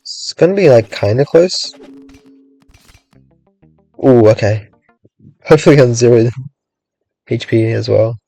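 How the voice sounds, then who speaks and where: A young man giving casual, rambling commentary on his own gameplay, thinking aloud with lots of "like"s and a voice gone a little raspy from hours of talking. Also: medium pitch, lively intonation, a little slow, pauses often, dark tone, dry recording, faint music behind, poor audio. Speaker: gaming streamer.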